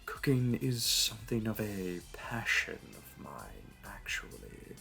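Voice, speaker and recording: A man's voice: fairly low pitch, wildly swooping pitch, takes it slowly, gravelly, sharp tone, exaggerated voice. A man playing a suave, flirty supervillain in an audio roleplay, with a teasing, purring, theatrical delivery. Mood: admiring, flirty. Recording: music under the voice. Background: music.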